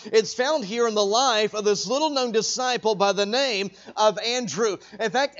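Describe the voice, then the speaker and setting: High-voiced man preaching a sermon. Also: lo-fi sound. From Texas. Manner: builds to loud emphatic preaching with slow drawn-out stresses. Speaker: traveling evangelist preacher. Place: church sanctuary.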